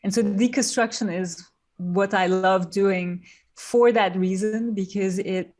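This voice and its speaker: Turkish-raised woman designer explaining her process. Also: medium pitch. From Turkey. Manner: thoughtful, explains step by step with fillers. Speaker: guest, industrial designer. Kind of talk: podcast interview.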